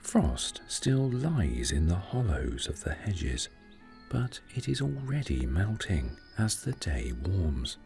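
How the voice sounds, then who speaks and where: A man narrating a scripted sleep meditation story in a soothing, gentle, unhurried way, with breathy phrase endings. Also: sits low, sing-song, soft background music.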